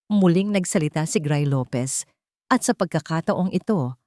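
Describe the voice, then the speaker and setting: A woman's voice: fairly low pitch, a little mellow, no echo, studio quality. A woman reading a scripted romance story as a dramatic narrator.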